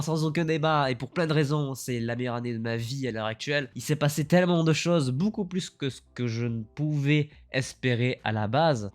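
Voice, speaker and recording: A man speaks with a congested, husky voice from a cold, at an ordinary middle pitch. He rambles enthusiastically with plenty of fillers while a faint guitar tune plays behind him. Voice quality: slightly lower, husky voice from the cold. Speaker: YouTuber. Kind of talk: year-in-review vlog talk.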